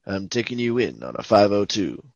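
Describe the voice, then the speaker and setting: A man acting a deadpan, polite knight detective in a parody of fifties radio police drama, with a husky voice. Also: fairly low pitch, normal speed, dry recording, muffled recording. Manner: deadpan, clipped police patter.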